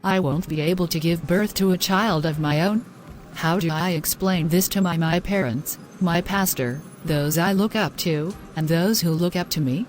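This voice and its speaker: A woman's voice: on the low side, moderate pace, soft background music, lightly acted. Woman acting a distraught, guilt-ridden young woman. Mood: self-loathing, anguished. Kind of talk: scripted moral-story drama.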